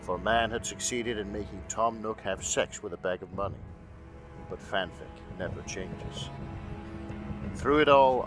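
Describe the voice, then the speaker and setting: A man's voice: fairly low pitch, pitched below the performer's own voice, close to an everyday voice. A northern English man playing a grave, world-weary narrator of a post-apocalyptic game prologue, solemn and portentous. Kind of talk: dramatic scripted prologue narration. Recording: music under the voice.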